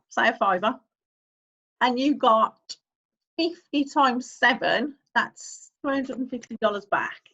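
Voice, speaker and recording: A woman's voice: sits high, fairly bright tone, slightly rough, dull audio. A woman, hosting a casual screen-share tutorial, chatting as she clicks through steps and reads on-screen text aloud. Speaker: online-income YouTuber host.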